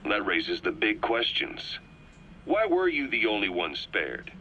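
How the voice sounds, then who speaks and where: An actor playing a cold, calculating military interrogator in a video game cutscene, speaking with raspy, deliberate menace as he accuses. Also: lowish voice, very animated, sharp tone, muffled recording, a little hiss or hum, full character voice. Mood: pointed accusation.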